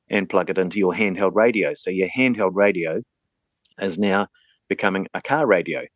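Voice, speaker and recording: A man with a New Zealand accent and a distinctly low voice. He explains things conversationally as an interview guest, practical and methodical. The sound is dry. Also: no room sound. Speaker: interview guest, radio communications advocate.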